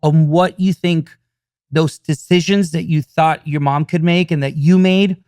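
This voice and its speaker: A man's voice: fairly high pitch, fairly even intonation, unhurried, darkish tone, smooth, no echo, studio quality. A man hosting a podcast interview, affirming his guest with frequent short agreements. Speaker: podcast host. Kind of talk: casual interview.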